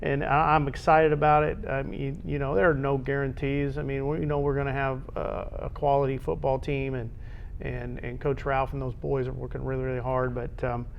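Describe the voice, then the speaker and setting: An American man co-hosting a local school sports show, talking conversationally and haltingly, often repeating words as he goes. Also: little pitch movement, vocal fry. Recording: dull lo-fi audio with low rumbling noise.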